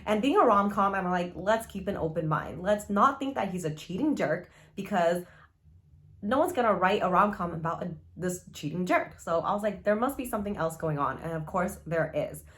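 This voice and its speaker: A woman's voice: lowish voice, animated, moderate pace, a bit bright. A woman chatting casually as a book vlogger, gushing and rambling informally with frequent asides.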